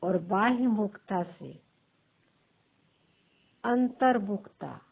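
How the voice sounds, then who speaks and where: Very slow, husky woman guiding meditation. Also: mid-range voice, lively intonation. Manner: measured, pausing between short phrases. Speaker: meditation teacher. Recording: thin phone-like sound.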